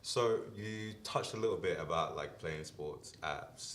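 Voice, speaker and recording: A man hosting a podcast interview in a relaxed, casual way, often saying "like" and "yeah". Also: low-pitched, measured pace, reverberant, slight background noise. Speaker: podcast host.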